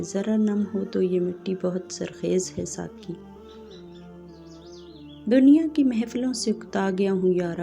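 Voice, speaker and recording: A woman's voice: mid-range voice, dark tone. A woman reciting poetry and quotes as the narrator of her own channel.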